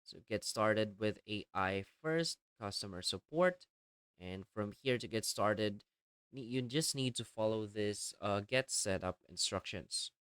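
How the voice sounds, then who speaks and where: A man with a fairly low voice walks through software steps as a tutorial presenter. His tone is clean and smooth, and his delivery is plainly instructional. The voice-over is dry, with hardly any room sound. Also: measured pace, no room sound.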